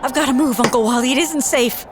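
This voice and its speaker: A woman's voice: sits high, fast, over music, natural voice. A woman playing an anxious but determined fugitive, speaking urgently and earnestly in an audio drama. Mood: worried, apologetic. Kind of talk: naturalistic audio drama acting.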